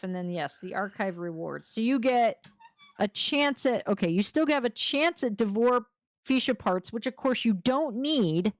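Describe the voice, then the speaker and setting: Woman, low and gravelly, hoarse from a long session; chatty, rambling gamer, recorded dry. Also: no room sound. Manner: rambling, talkative, self-deprecating. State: voice going hoarse after hours of talking. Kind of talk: casual chat while playing a game.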